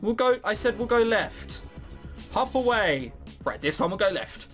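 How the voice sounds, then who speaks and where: A man's voice: high voice, big pitch swings, unhurried, fairly bright tone, faint music behind. A man giving casual, sarcastic gaming commentary with some swearing, sometimes reading game text aloud. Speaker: let's play host.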